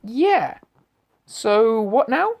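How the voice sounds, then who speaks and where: A woman's voice: on the high side, very animated, extremely slow, darkish tone, exaggerated voice. A woman playing a wry ghost of a murdered male writer. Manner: wry, sarcastic asides. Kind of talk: amateur audio drama acting.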